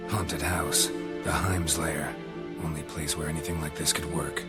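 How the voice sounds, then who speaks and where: Very deep, terse monster hunter. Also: male voice, unhurried. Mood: dry, resolved. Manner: terse, dry, deadpan. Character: stoic, weary male monster-hunting witcher, dry and guarded. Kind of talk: video game voice acting. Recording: background music under the voice.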